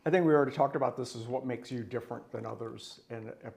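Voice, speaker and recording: A man from the US Upper East Coast hosting a casual interview show, chatty and quick to laugh. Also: mid-range voice, vocal fry, darkish tone. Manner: chatty, laughs easily, restarts often. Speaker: talk show host.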